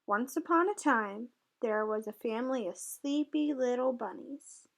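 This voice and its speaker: A woman voicing a gentle, agreeable babysitting bear from a picture book, in a mild, unhurried way. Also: fairly high pitch, animated. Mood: gentle, calm.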